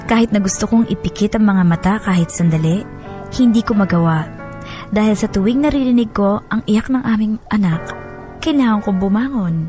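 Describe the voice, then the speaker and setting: Music plays behind an actress as a weary young mother. She narrates a radio drama letter in a plaintive, confiding manner, with a mid-pitched voice. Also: a little fast, mellow, lightly acted. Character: weary young new mother telling her story.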